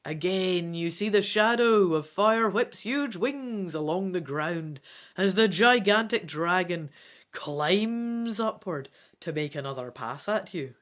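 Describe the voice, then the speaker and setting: Woman host, dramatic storybook reader with very animated pitch and chatty, self-deprecating asides. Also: slow. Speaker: host of a gamebook let's play.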